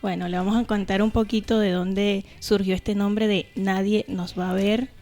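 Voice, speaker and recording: A woman's voice: medium pitch, level tone, normal speed, darkish tone. Female co-host for a casual podcast, Venezuelan accent, medium register, faint music underneath. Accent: Venezuelan Caribbean. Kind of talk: casual podcast chat with scripted intro.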